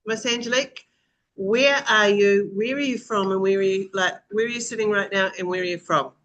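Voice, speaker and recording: A woman's voice: mid-range voice, a little fast, a bit bright, dull audio. Informal, teasing woman hosting a group call. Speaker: host. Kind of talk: casual chat hosting a group video call. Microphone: video call.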